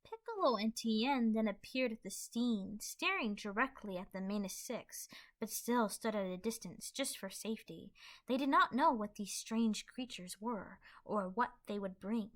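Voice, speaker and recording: A woman's voice: fairly high pitch, animated, measured pace, fairly bright tone. A woman giving scripted storybook narration as the narrator of a fan fiction audio play.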